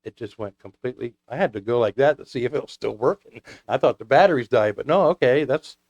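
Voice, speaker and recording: A man's voice: medium pitch, fast, darkish tone, highs cut off. A man talking casually as a guest about his hobby, in a folksy, rambling storytelling way. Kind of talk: casual hobby talk with anecdotes.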